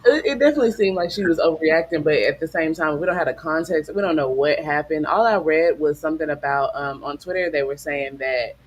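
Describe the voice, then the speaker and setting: A man hosting a casual podcast, relaxed and quick to laugh, hedging his opinions. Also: fairly high pitch. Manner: relaxed, laughs easily, hedges. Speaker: podcast host. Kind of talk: casual chat.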